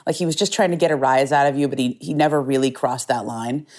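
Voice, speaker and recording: A woman's voice: very deep voice, fast talker, a little mellow. A middle-aged woman journalist telling a story in an earnest, reflective way, often restarting her phrases. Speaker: journalist guest. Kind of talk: conversational storytelling interview.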